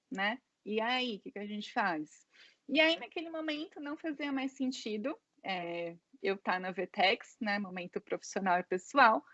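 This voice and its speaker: A woman's voice: on the high side, a bit bright, no echo, highs cut off. A Brazilian woman in her thirties with a Brazilian Portuguese accent, telling her story as an interview guest in casual, filler-heavy talk. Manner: chatty, many fillers like "né" and "é".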